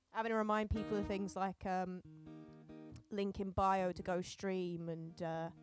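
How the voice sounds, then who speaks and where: Woman streamer, casual chat, middle pitch, faint guitar behind. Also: level tone, slow. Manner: rambling, self-correcting, thinking aloud. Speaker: streamer and singer-songwriter.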